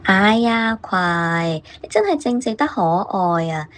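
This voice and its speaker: A woman's voice: medium pitch, animated, takes it slowly. A woman playing a savvy senior colleague in a radio drama, coaxing and coaching with knowing, theatrical emphasis. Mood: teasing, coaching. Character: savvy older female colleague, slyly coaching a junior. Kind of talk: Cantonese workplace radio drama acting.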